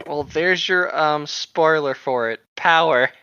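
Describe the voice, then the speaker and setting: A man's voice: a little high, slow pace, sharp tone, smooth, no echo. A young man joking around and reading aloud in a casual, playful way over a slightly dull, lo-fi mic. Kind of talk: casual chat and read-aloud.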